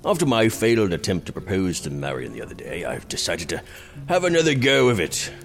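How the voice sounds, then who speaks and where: A man's voice: deep voice, huge pitch swings, brisk, gravelly, faint music behind, full character voice. Pompous tipsy king with wild swoops. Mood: smug. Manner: jovial, theatrical, haughty. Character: pompous, drunken, self-indulgent prince acting as king. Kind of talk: medieval audio drama acting.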